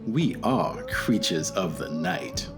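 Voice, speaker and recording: A man's voice: sits low, very animated, takes it slowly, over music, full character voice. A man playing a brooding, theatrical goth boyfriend in a radio play, solemn and melodramatic.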